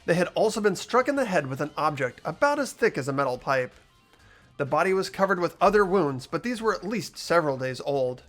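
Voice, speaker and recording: A man's voice: fairly high pitch, very animated, fairly quick, fairly bright tone. A man voicing an earnest, inquisitive teenage schoolboy who narrates his detective work in a visual novel game. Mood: earnest, thoughtful. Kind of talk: acted visual novel dialogue and inner narration. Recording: music behind the voice.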